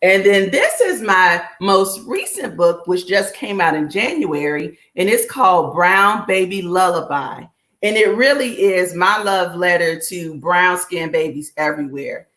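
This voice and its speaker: A middle-aged woman, a children's book author, talking casually as a guest, reflective and relaxed with many fillers. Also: lowish voice, a little slow. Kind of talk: casual conversation.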